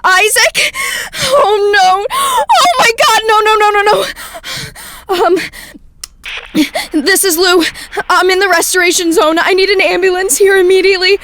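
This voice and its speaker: Woman acting a high-pitched, frantic, fierce young friend. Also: lively intonation, unhurried, fairly bright tone, exaggerated voice. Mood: panicked. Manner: urgent, emotional, blunt. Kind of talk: horror audio drama acting.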